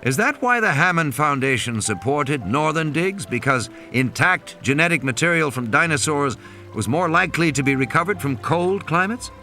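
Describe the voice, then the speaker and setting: A man voicing a courteous, inquisitive male researcher in audiobook dialogue, measured and polite. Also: a little high, animated, sharp tone. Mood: measured, probing. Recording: music under the voice.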